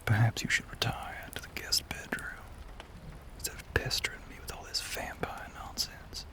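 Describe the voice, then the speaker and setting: A man playing a lonely, brooding Southern gentleman vampire in an intimate audio roleplay, with a Southern US accent, a breathy voice and genteel, old-fashioned phrasing. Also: normal speed, lightly acted. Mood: dismissive, weary. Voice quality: breathy, whispery.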